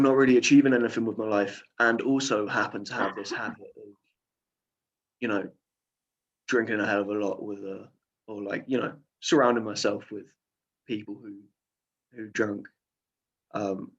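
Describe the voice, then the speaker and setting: A man's voice: fairly low pitch, highs cut off. A young man speaking as a podcast guest, hesitant and rambling with lots of fillers. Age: young adult. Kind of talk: casual interview.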